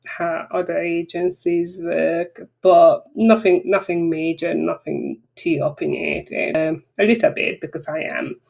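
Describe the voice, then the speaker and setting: A woman's voice: on the low side, fairly even intonation, unhurried, gravelly, darkish tone. A woman with a Hungarian accent, a native Hungarian speaker, closing a marketing webinar in a chatty, rambling, frank way. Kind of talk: casual webinar wrap-up talk.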